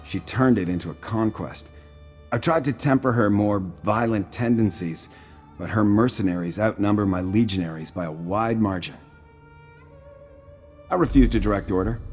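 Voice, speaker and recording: An actor playing a proud, shrewd Imperial military commander in a video game, speaking formally and with dry self-assurance. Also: male voice, lowish voice, normal speed, darkish tone, natural voice. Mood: resigned, matter-of-fact. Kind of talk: video game character dialogue. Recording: music playing behind the voice.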